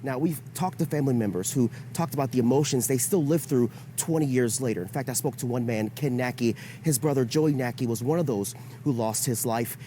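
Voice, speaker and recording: A man's voice: mid-range voice, brisk, hardly any pauses, dark tone. A man delivering a live news report from the field. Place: outdoors near a memorial site. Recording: outdoor live report, faint ambient noise.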